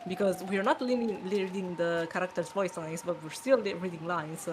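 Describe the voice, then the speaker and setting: A young woman with an Italian accent chats and giggles through a game stream, her voice sitting a little low. She hesitates mid-sentence, translating in her head as she goes. Background noise hisses steadily under her home mic. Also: moderate pace. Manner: giggly, hesitant while translating in real time. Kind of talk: casual game stream chat.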